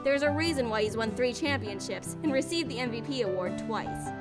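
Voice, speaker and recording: A woman's voice: a little high, expressive, brisk, close to an everyday voice. A woman voicing a confident, blunt young woman in an anime visual novel. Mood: matter-of-fact. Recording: loud music behind the voice.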